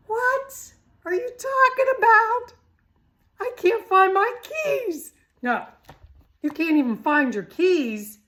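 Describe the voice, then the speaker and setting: A woman's voice: on the high side, a bit bright. A middle-aged woman giving an informal, chatty health talk, blunt and teasing, firing rhetorical questions at the listener. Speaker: health vlogger.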